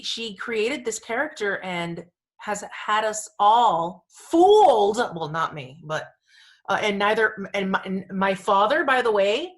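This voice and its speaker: A woman's voice: medium pitch, a bit bright. Gushing, emphatic woman podcast host. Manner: gushing, emphatic, informal slang, repeats phrases for emphasis. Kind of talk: casual pop culture chat, ranting.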